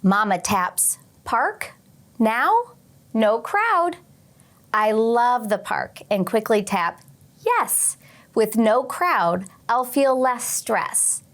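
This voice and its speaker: A woman teacher reading a picture book aloud to young children expressively, pausing for short teaching asides. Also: on the high side, very animated, fairly bright tone. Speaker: teacher and read-aloud host.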